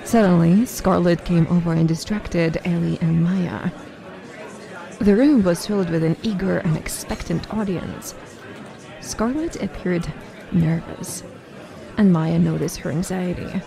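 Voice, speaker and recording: A woman's voice: fairly low pitch, a little slow, gravelly, a crowd in the background. A woman narrating a scripted story for an amateur audio drama.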